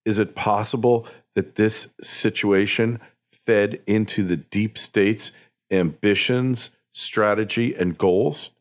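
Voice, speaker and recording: A man's voice: lowish voice, fairly even intonation, slow. An American man delivering opinion commentary as a podcast host, in an emphatic, opinionated way. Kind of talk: opinion commentary, partly scripted monologue.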